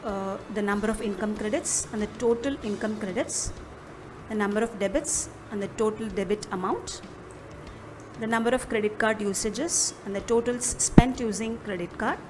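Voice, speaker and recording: A woman with an Indian accent narrating an instructional software demo, explaining step by step in a measured manner. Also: medium pitch, noisy background. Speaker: product demo presenter. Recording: music behind the voice. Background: background music.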